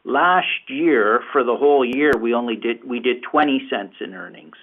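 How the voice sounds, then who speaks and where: A man speaking as a finance executive answering questions on an earnings call, explaining haltingly and repeating words. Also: mid-range voice. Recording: phone-like, narrow conference call line.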